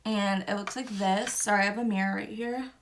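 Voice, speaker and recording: A woman's voice: medium pitch, steady tone, a little fast, a little hiss or hum. A young woman with a stuffy nose from a cold, talking through a makeup tutorial step by step in an easygoing way. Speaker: beauty vlogger.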